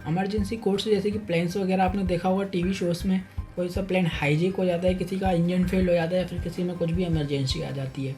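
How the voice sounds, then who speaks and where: Mellow, soft-toned male explainer. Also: sits high, fairly even intonation, fast. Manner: explanatory, repetitive, addresses listeners as friends. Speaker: educational video presenter. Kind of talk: informal explainer narration. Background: faint music.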